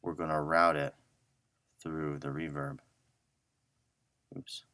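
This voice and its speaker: A man's voice: extremely low pitch, level tone, takes it slowly, bright, forceful tone, no room sound. A man giving a casual audio editing tutorial, informally muttering to himself as he works. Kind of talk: casual screen-recorded software tutorial.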